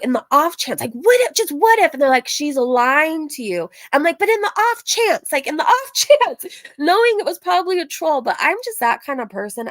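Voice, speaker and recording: A woman's voice: medium pitch, no room sound, clean studio sound. A woman hosting a livestream in a casual, rambling, informal way, repeating phrases for emphasis as she chats. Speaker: livestream host reacting to drama and reading chat.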